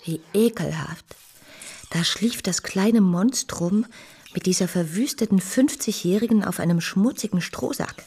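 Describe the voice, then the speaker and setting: An actress playing a composed, compassionate bourgeois lady who tells her story in a measured, earnest radio drama style. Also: medium pitch, dark tone, slightly rough. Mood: troubled, resolute. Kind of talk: radio drama narration and dialogue.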